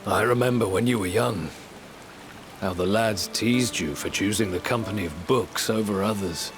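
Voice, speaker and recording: An actor playing a middle-aged bereaved father in a video game, consoling and proud, with a gentle, earnest, steady manner. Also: fairly low pitch, normal speed, close to an everyday voice. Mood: fond remembering. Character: warm, consoling, proud father mourning his daughter. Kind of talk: dramatic video game voice acting. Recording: rain and music behind. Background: rain, music.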